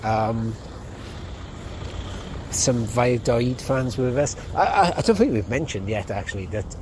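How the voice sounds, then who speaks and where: Young guy rambling on a walking vlog, mid-pitched, street traffic clearly audible behind. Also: expressive, unhurried, rough recording. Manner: rambling, chatty, many ums. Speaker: football podcast host. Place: outdoors on city streets. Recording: outdoor street noise. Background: street and traffic noise.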